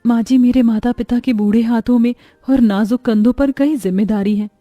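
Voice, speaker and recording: A woman's voice: fairly high pitch, fairly even intonation, moderate pace, dry recording, muffled recording, faint music behind, natural voice. A woman voicing a dutiful, caring young daughter-in-law who speaks respectfully and pleadingly in a family story. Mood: earnest pleading.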